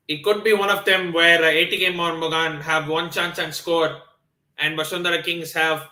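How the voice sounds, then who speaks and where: Male stream host, casual football commentator, high-ish bright tone, echoey room sound. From Nepal. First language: not Hindi. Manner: excitable, lots of fillers and restarts. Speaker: livestream watchalong host. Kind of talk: live football commentary and chat with viewers.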